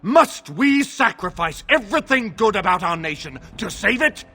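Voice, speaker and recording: Impassioned, high-pitched noble orator. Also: male voice, sing-song, normal speed, sharp tone, exaggerated voice. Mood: impassioned appeal. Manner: declamatory, formal. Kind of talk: theatrical fantasy game oratory.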